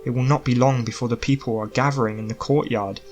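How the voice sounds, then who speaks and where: A man's voice: medium pitch, fairly even intonation, quick pace, lo-fi sound, soft background music, lightly acted. A man voicing a worried old physician and guardian who speaks earnestly in an audiobook story. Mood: gentle urging.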